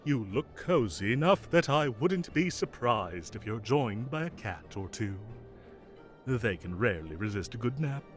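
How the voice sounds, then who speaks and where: Guy doing a mischievous fae king for audio rp, super animated, takes his time, gets flustered n halting, secretly soft. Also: medium pitch, music in the background, natural voice. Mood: tender, bashful. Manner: theatrical, halting when flustered. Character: mischievous, evasive male fae king of summer, secretly fond. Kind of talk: scripted audio roleplay acting.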